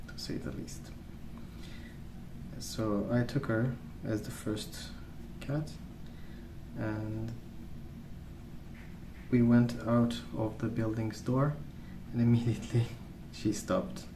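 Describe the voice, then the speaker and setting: A man rambling softly in an ASMR style, hushed, hesitant and unhurried. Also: on the low side, steady tone. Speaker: ASMR video host. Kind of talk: soft-spoken ASMR rambling. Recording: roomy, distant mic with clear noise.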